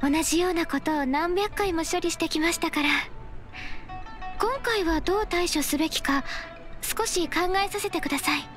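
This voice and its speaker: A woman voicing a gentle, dutiful young secretary in an anime game, with polite, measured, composed formality. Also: very high-pitched, soft background music. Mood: composed, confident.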